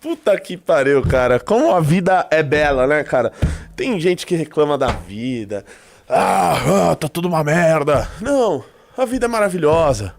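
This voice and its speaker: A man's voice: fairly high pitch, big pitch swings, normal speed, gravelly. A Brazilian man with a stuffy nose hosting a live show in loose, joking commentary, peppered with "tá?". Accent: Brazilian Portuguese. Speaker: livestream host.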